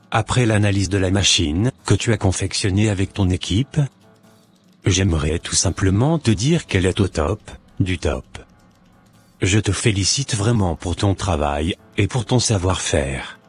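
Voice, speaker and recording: A man's voice: low-pitched, expressive, unhurried, slightly rough, faint music behind, exaggerated voice. A man playing formal, self-assured men in a cartoon, with a stiff scripted delivery. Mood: approving. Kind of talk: animated cartoon dialogue.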